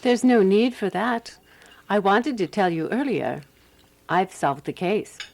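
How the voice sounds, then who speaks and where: An actress playing a calm, practical woman detective in a radio drama, telling her case in a composed, deliberate way. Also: mid-range voice. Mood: calm, assured. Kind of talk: radio drama dialogue and first-person narration.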